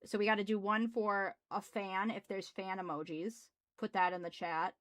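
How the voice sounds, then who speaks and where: Young Midwestern woman streamer, gruff voice, mid pitch, dry close sound, excitable and chatty. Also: moderate pace, dry recording. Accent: Midwestern American. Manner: bubbly, thanking viewers, laughs often. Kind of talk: casual live stream chat. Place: small home office.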